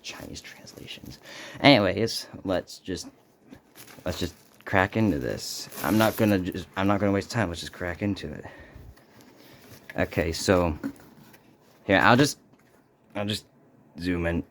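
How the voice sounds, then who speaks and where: A man hosting a casual, unscripted unboxing video, speaking haltingly and muttering to himself as he goes. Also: low-pitched, a bit bright. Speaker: video host doing an unboxing.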